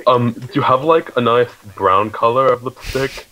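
A man playing an earnest, naively persistent hefty girl shopper on a prank phone call, speaking haltingly. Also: mid-range voice, pushed and bright, lightly acted. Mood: hesitant, earnest.

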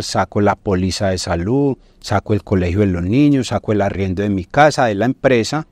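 Deep-voiced middle-aged Colombian man explaining. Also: a little mellow, dry recording. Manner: didactic, checks in with tag questions. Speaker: guest business finance consultant. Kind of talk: podcast interview, explaining. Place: indoor podcast studio. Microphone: lapel mic.

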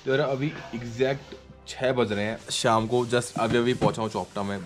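Male travel vlogger, thirties, mid-pitched, friendly off-the-cuff chat outdoors, music underneath. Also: fairly even intonation, normal speed. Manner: friendly, addresses viewers and locals as brother. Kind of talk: casual vlog chat to camera. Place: outdoors on mountain roads and villages.

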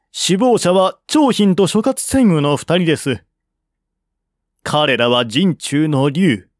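Audiobook envoy man performing: respectful, reasoning, formal, slow delivery, dry sound. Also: on the high side, dry recording, voice pushed up. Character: deferential, reasoning male envoy reporting to his prince. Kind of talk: audiobook character reading.

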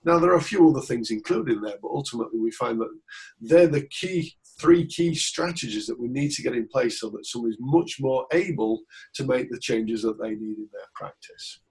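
Gravelly man hosting a webinar. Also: medium pitch, rough recording. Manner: chatty, loose and informal, with fillers and restarts. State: recovering from a cold. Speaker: webinar host and coach. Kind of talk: webinar interview. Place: small office with posters. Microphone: video call.

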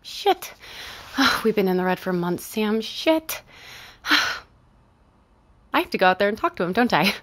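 A woman's voice: mid-range voice, expressive, unhurried, long pauses, fairly bright tone. A woman playing a weary, stressed shop boss in a naturalistic audio drama, clipped and sighing. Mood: anxious, stressed.

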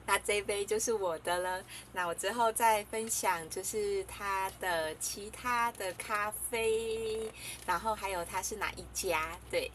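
A woman's voice: mid-range voice, a little slow, fairly bright tone, a little hiss or hum. A young woman casually chatting to the camera while reviewing coffee, talking in a hesitant way with many fillers and giggles. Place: small room indoors.

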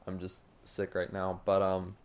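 Sick young man, hoarse gravelly voice, low flat delivery, faint hiss behind. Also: a little slow. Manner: rambling, apologetic, many ums. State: sick with a sore throat. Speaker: gaming YouTuber. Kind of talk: casual voice-over commentary over gameplay. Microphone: home desk mic with a cough drop.

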